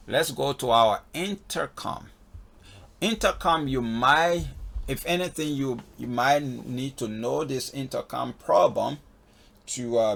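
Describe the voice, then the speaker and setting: Male instructor, heavily creaky and husky, casual step-by-step tutorial delivery. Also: medium pitch, fairly bright tone. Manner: step-by-step, repeatedly checking in with "okay". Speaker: flight instructor in a tutorial video. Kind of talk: casual spoken software walkthrough. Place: voice-over on a screen recording.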